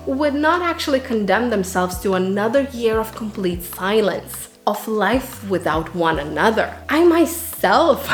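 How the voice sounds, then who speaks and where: Woman doing a scripted book review, earnest n dramatic, echoey room, faint music behind her. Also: mid-range voice. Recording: roomy echo, music underneath. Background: background music.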